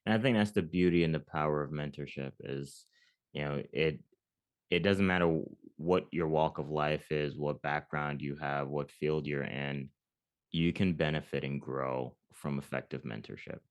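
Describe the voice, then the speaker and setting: A man's voice: very deep voice, moderate pace, clean tone. A young man from Jamaica hosting an interview, affirming his guest with enthusiasm. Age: young adult. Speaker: podcast host.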